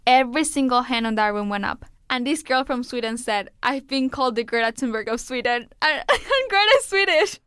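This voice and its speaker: A woman climate activist telling a story in an interview, animated and candid. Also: sits high, a little fast, hardly any pauses, clear, resonant tone, dry recording, studio quality.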